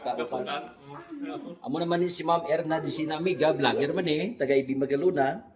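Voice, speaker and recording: A man with a Western Visayan Filipino accent hosts a casual vlog in a jokey, conversational way. His voice sits a little high and he often tags questions onto his phrases. The room is very echoey, with people chattering behind him. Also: a little fast, a crowd in the background. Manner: jokey, frequent tag questions. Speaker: vlog host, radio drama director. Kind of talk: casual vlog chat introducing colleagues. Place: indoor radio recording room.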